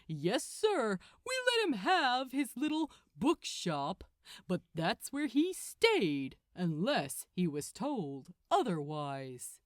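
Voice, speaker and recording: A smug, mocking male archangel from an audiobook story, played by a woman. He talks down to his listener with theatrical relish. The pitch swings freely and the sound is close and dry. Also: wildly swooping pitch, slow pace, dry recording. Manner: condescending, chuckling, theatrical. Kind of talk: acted audiobook dialogue.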